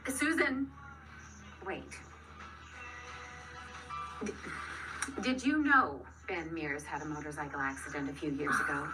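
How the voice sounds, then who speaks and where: An actress playing a middle-aged, disapproving small-town mother in a radio drama, sharp, gossipy and reproachful. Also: low-pitched, unhurried, pauses often, recorded from a distance, music in the background, poor audio.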